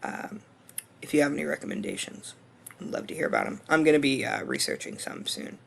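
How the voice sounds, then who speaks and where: A man in his twenties with a hoarse voice, talking through a course screencast reflection in a casual, hesitant way full of ums and restarts. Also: a little high, huge pitch swings, fairly quick, a bit bright, a little hiss or hum. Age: 20s. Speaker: student.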